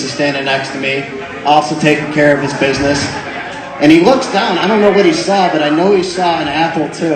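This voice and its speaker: A young man spins a comic tale into a microphone, a touch higher in pitch than most men, laughing as he goes. His voice bounces around a very echoey hall, with a crowd chattering loudly behind him. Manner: animated, laughs often while telling. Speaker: storyteller. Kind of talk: comic storytelling to a live crowd. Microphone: microphone into a speaker system. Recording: very echoey room with crowd noise.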